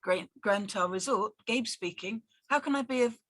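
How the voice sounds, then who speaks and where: Husky, hesitant young man, played by a woman. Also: medium pitch, normal speed, a bit bright, dry recording, natural voice. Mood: hesitant, polite. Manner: hesitant, bemused. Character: quiet, fresh-faced young man, hesitant new night auditor. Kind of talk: script table-read acting.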